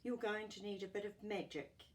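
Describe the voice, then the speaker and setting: A woman's voice: mid-range voice, steady tone, normal speed, recorded from a distance, lightly acted. A woman voicing a wise, weary old male snail in a children's storybook, grumbling and cautioning.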